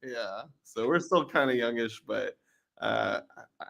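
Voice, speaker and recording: A man's voice: mid-range voice, expressive, a little slow, gravelly, fairly bright tone. A man in his late twenties, a band member guesting on a podcast, chatting in a laid-back way and swearing casually. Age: 20s. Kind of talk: casual chat.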